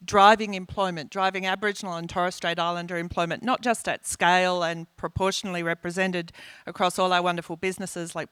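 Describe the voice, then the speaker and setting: Woman, bright tone, smooth and clear, panel speaker at an event, keen, restarts a lot. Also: lowish voice, dry recording. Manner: earnest, enthusiastic, with many restarts and fillers. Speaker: corporate executive panelist. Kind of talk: panel discussion. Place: conference panel at a live event.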